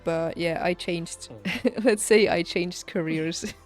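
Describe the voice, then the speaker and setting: A woman game streamer chatting casually, thinking aloud haltingly and laughing easily, as if working out each thought while she talks. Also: fairly low pitch. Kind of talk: casual livestream chat over gameplay. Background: game music.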